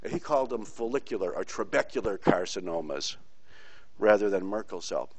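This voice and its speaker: Middle-aged male lecturer, low voice, rambling and blunt, dry close recording. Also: expressive, a little fast, no room sound. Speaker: pathology lecturer. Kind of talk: informal online lecture. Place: teaching from Puerto Rico.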